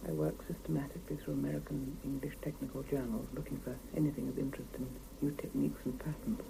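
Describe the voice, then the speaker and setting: A woman's voice: a bass voice, level tone, fast, gravelly, full character voice. An actress playing a weary, reflective Soviet scientist and mother narrating her inner thoughts in a radio drama. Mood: matter-of-fact. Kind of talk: 1970s radio drama interior monologue. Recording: hissy 1975 mono radio cassette recording.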